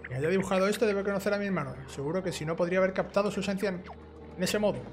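A man's voice: high-pitched, very fast. A young man with an accent from Spain, chatting casually through a let's play and reading game dialogue aloud in an informal way. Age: young adult. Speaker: gaming YouTuber. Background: video game music.

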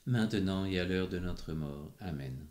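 Deep-voiced man praying in an echoey room. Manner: even, measured prayerful recitation. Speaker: prayer responder. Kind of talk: reciting rosary responses.

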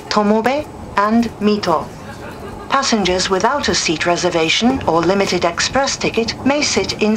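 A woman reading a formal scripted announcement as a recorded train announcer. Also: medium pitch. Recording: noisy recording inside moving train. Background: train running noise.

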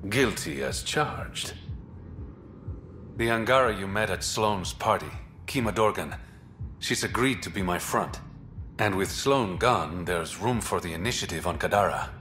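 Video game crime boss, low pitch, crisp forceful edge, wry, smooth, self-assured. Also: male voice, expressive, a little slow, faint music behind, exaggerated voice. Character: suave, wry male smuggler and secret crime boss. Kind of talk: cinematic game dialogue acting.

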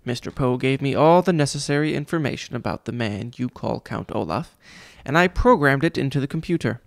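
A man puts on a vain, cruel school vice principal for a storybook. He talks down to children in a mocking, self-important way. The voice has a gravelly creak and a dry, close sound. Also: mid-range voice, lively intonation, brisk, no room sound. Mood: pompous, scolding. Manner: condescending, mocking.

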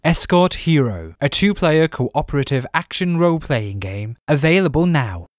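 Man for scripted trailer-style narration, highly varied intonation, dry studio-like sound. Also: big pitch swings, normal speed, no echo. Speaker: voice actor. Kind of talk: scripted promotional narration for a voice reel.

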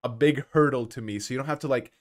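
A man's voice: medium pitch, fast. A man who grew up speaking Spanish hosts a casual gaming podcast in English. He sounds eager and friendly, padding his sentences with fillers and laughing freely. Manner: enthusiastic, laughs often, filler words. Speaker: podcast host.

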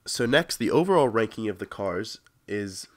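A man's voice: lowish voice, expressive, normal speed. A young adult man hosting a gaming video with casual gameplay commentary, sounding congested and sick with a cold.

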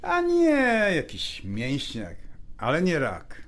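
A man's voice: on the high side, wildly swooping pitch, slow pace, fairly bright tone, full character voice. An actor playing a polite, curious male neighbour in an audio drama, colloquial and mixing English into his talk. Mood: friendly, curious.

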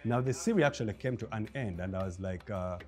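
A man in his thirties with a Ugandan English accent, a film producer talking as a guest in a studio interview, lively and acting out the voices he quotes. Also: fairly low pitch, fast, soft background music. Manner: animated storyteller, acts out quoted voices with laughs. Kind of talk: interview conversation. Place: bright indoor studio set with armchairs.

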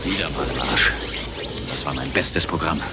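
An actor playing a cocky, frustrated computer hacker in a radio play, casual and slangy. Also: male voice, extremely low pitch, normal speed, gravelly, loud music behind the voice. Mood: resigned, bitter. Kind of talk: German radio play acting.

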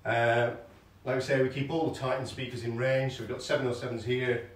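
A man's voice: medium pitch, flat delivery, a little fast. A middle-aged man casually talking through an unboxing in a large showroom, chatty and hesitant with fillers, moving about as he lifts and unpacks. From England. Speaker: hi-fi shop owner. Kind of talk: casual product unboxing demo. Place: large carpeted listening showroom indoors. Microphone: camera mic from across the room. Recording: roomy showroom sound from far off with music.

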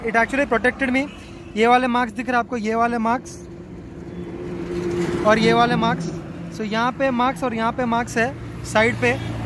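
Very high-pitched Hyderabadi young man. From India. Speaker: motovlogger. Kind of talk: casual vlog narration. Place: outdoors on a highway roadside and riding a motorbike. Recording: outdoor roadside and road noise.

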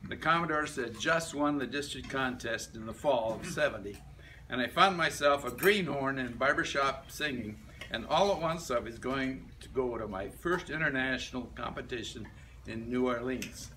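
An elderly man reads his reminiscences to a small gathering. His voice is bright and forward, and he moves haltingly from phrase to phrase. He is picked up from a few metres away in a meeting room, with faint noise around him. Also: mid-range voice. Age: older, about 70s to 80s. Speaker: club member giving a life-story speech. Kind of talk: reading a prepared reminiscence aloud to a group. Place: medium-sized indoor meeting room. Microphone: camera a few metres away. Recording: distant room mic with background noise.

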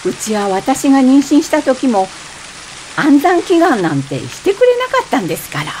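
A woman's voice: high voice, very animated, moderate pace, darkish tone, slight background noise, rough recording. An actress playing a frank married woman fed up with her husband, in conversational, wry radio drama dialogue. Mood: grumbling. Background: rain.

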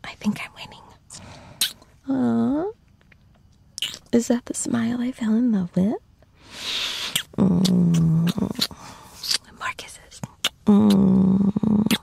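A woman playing an affectionate, teasing girlfriend in an intimate ASMR roleplay, cooing tenderly and often slipping into soft, breathy whispers. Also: mid-range voice, noisy background, exaggerated voice. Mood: playful, adoring. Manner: cooing, playful, slow and tender. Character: affectionate, teasing young girlfriend comforting her shy boyfriend.

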